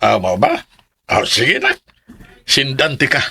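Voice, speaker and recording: A man's voice: mid-range voice, huge pitch swings, slow, gravelly, pushed and bright, husky, no echo, heavily acted. An actor with a Cebuano Filipino accent playing a supportive, mildly worried middle-aged father in a radio drama.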